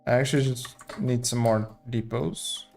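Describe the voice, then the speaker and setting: A man's voice: medium pitch, steady tone, moderate pace, creaky, darkish tone, soft background music. Man thinking aloud. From Portugal. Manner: thinking aloud while building, casual and chatty. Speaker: streamer. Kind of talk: live gameplay commentary.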